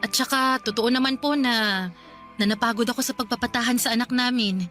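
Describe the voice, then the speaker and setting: High-pitched, meek Filipino young mother. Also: steady tone, brisk, pushed and bright, soft background music. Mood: hurt, defensive. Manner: pleading, apologetic, deferential. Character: meek, long-suffering young mother and daughter-in-law. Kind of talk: radio drama acting.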